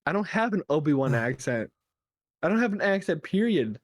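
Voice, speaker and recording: A man's voice: on the high side, very animated, fairly quick, no echo, clean studio sound. A man with a Chicago accent co-hosting a casual podcast, playful and laughing often. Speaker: podcast co-host. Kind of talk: casual chat.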